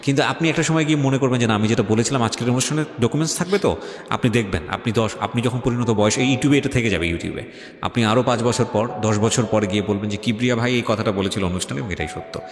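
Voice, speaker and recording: A man's voice: medium pitch, fast. A man with a Bangladeshi accent hosting a radio talk show, insistent and emphatic, slipping English phrases into his advice. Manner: emphatic, insistent, argumentative, code-switches into English. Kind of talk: talk show interview, advising. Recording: echoey hall reverb, faint music. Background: faint background music.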